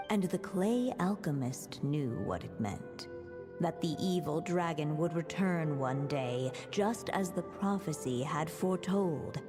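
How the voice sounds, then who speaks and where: A woman playing a gentle storybook narrator in a video game, telling a fairy tale in measured, solemn phrases. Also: low voice. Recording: long reverb, music behind. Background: music.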